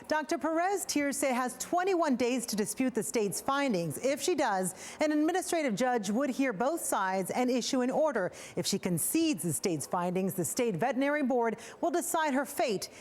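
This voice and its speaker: Higher-voiced woman reporting TV news. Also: expressive, normal speed, hardly any pauses, a little mellow. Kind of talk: scripted news report narration.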